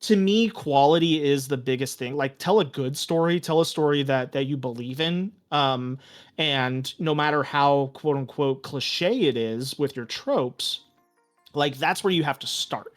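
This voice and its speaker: A man's voice: on the high side, unhurried, faint music behind. A man speaking as a podcast guest and game master, explaining his approach in a casual, reflective, conversational way. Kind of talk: casual, reflective interview chat.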